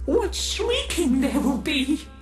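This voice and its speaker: A woman's voice: sits high, big pitch swings, slow, faint music behind, voice pushed up, heavily acted. A woman putting on flamboyant, haughty, decadent aristocrats. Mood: cruel glee. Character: vain, decadent young aristocrats, bored and cruelly gleeful. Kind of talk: storybook character voices in a video game.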